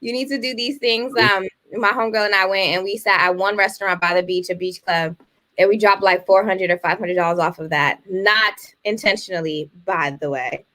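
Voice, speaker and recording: A woman in her thirties co-hosting a casual chat show, telling stories animatedly and jumping up high when she exclaims. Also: fairly even intonation, fairly quick, pushed and bright. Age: 30s. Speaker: co-host.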